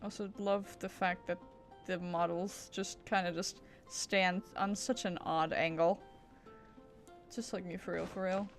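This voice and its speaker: Slow-paced woman streamer, playful asides. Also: fairly low pitch, flat delivery. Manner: playful, rambling, muttering asides. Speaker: game streamer. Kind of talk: casual live game commentary. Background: game music.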